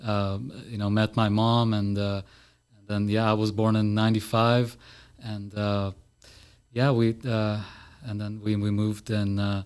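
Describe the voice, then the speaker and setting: A man's voice: on the low side, little pitch movement, measured pace, darkish tone, clean tone. A man of about thirty from Iran, a podcast guest talking casually in a studio with lots of "uh" and "you know" fillers. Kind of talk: casual interview talk.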